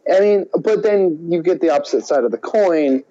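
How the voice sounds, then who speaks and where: A man's voice: fairly high pitch, animated, normal speed, dark tone, dull audio. A man co-hosting a podcast in casual chat, rambling and opinionated as he goes. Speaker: podcast co-host.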